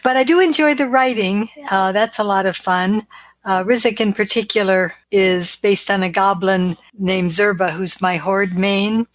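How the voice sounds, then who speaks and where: A woman guesting on a podcast, shy and soft-spoken, talking about her writing. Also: mid-range voice, a little slow, no echo. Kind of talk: casual podcast chat.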